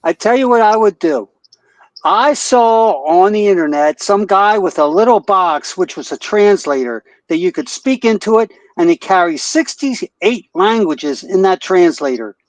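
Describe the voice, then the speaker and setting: A man's voice: sits high, lively intonation, unhurried, no echo, poor audio. A man calling into a live stream, telling a joking story with chuckles. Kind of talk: joking call-in remarks.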